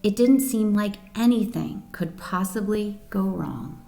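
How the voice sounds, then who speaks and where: A woman reading a picture book aloud to children as a storyteller, with an expressive, dramatic manner. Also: medium pitch, a little slow, gravelly. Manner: expressive, dramatic reading with questions to young listeners. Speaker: volunteer storyteller.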